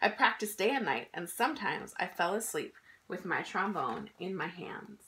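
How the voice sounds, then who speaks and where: A woman in her thirties reading a children's picture book aloud in an expressive, emphatic storytelling style. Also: fairly low pitch, normal speed, slight background noise. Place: small indoor room at home.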